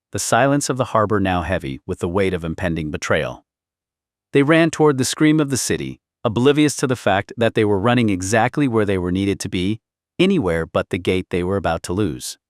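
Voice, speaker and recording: A man's voice: on the low side, no echo, studio quality. A man narrating historical fiction as a scripted audiobook, in a theatrical, intense and suspenseful manner.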